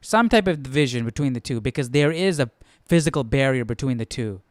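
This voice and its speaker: A man's voice: medium pitch, animated, fairly quick, dry recording, clean studio sound. A man giving casual, off-the-cuff commentary as host of a Bible study, questioning and conversational with repeated phrases.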